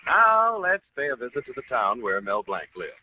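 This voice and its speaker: A man's voice: medium pitch, huge pitch swings, moderate pace. A man announcing a scripted radio show in a polished 1940s style. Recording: noisy, muffled old radio broadcast.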